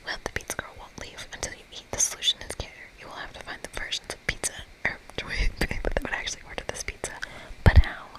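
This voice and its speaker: Soft breathy whisper all the way thru, asmr person reading out game dialogue. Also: a little fast, poor audio.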